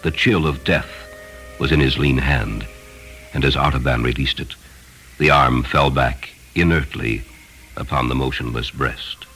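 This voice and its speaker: A man narrating a story from a script in a solemn, expressive and dramatic style. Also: rumbling low, measured pace, slight background noise, rough recording. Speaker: audiobook narrator.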